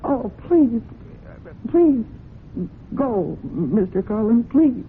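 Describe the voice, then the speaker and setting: An actress playing a proud, protective young horse owner, guarded and firm, in an old-time radio drama. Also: female voice, a little high, sing-song, extremely slow, exaggerated voice. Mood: anguished, pleading. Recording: muffled, noisy old radio broadcast.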